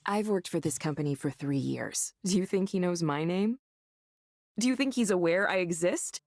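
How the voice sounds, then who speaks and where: Casting: chummy, earnest female game character, lower-middle pitch, eager, dry studio sound. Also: expressive, moderate pace, a little mellow, dry recording. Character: friendly, eager, admiring woman, counseling center manager. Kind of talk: visual novel voice acting.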